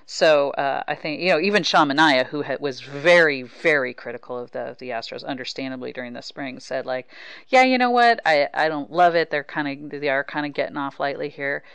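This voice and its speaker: American lady sports host, clean smooth voice, dry close mic, chatting casually. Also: low-pitched, lively intonation, a little fast, fairly bright tone, no room sound. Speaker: podcast host and baseball reporter. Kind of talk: casual sports interview chat.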